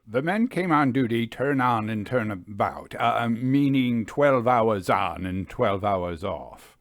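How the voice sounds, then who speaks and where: A man reading a literary ghost story aloud in a measured, deliberate storytelling manner, with dramatic pauses. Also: mid-range voice, lively intonation, no echo, studio quality. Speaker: audiobook story reader.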